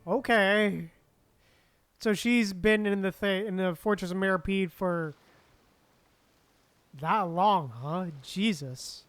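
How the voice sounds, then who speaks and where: A man's voice: sits high, takes it slowly, pauses often, fairly bright tone, clear, resonant tone, no echo. A man chatting casually on a game live stream in a laid-back, slangy, giggly manner. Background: game music.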